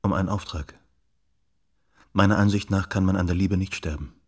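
An actor playing a persistent investigator in a radio drama, calm and insistent, with a husky voice. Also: male voice, low voice, moderate pace. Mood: calm, persuasive. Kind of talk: German radio drama acting.

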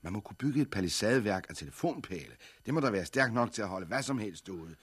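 A practical, mild-mannered survivor in a 1960s radio drama. His voice is very low and husky, in dry studio sound. He offers things in a measured, tentative but persistent way. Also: big pitch swings, no echo. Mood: earnest, defensive. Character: mild, persistent man full of practical suggestions. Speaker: radio actor.

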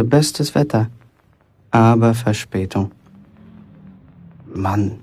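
An actor playing a sullen, restless young bricklayer's apprentice in a German radio play, curt and evasive with a defiant streak. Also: male voice, fairly low pitch, mellow. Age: young adult.

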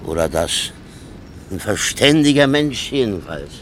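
An actor playing a cheeky, stubborn old working man in a radio play, gruff and colloquial. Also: fairly low pitch, big pitch swings, measured pace, a little hiss or hum. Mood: stubborn, wry. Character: grumbling, cheeky old working-class man, railway track walker. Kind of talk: naturalistic radio play acting.

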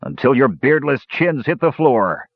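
A man voicing a boastful, jovial, hard-drinking bearded dwarf in a fantasy audiobook, with loud roaring speech. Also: mid-range voice, expressive, a little slow, no echo, highs cut off, voice pushed up. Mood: confident.

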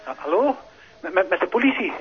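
An actor playing a frightened, flustered male caller, stammering and hurried in a radio drama. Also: high voice, animated, loud music behind the voice. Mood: panicked. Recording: dull lo-fi recording.

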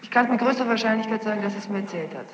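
A woman's voice: medium pitch, racing, a crowd in the background. A woman testifying as a witness in court, defensive and precise about her wording. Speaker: witness under questioning. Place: large reverberant courtroom. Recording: muffled, noisy, echoey archival courtroom tape.

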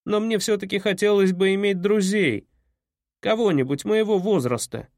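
Male reader playing an eager, lonely fourteen-year-old boy, pitched up, dry close read. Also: normal speed, darkish tone, no room sound. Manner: eager, pleading.

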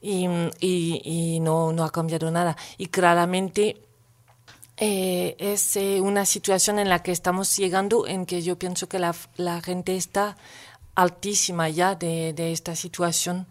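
A woman with a slightly low voice speaks as a guest on a casual radio talk show. She thinks aloud and hesitates, filling her pauses as she builds her point. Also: little pitch movement. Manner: thoughtful, hesitant, many fillers.

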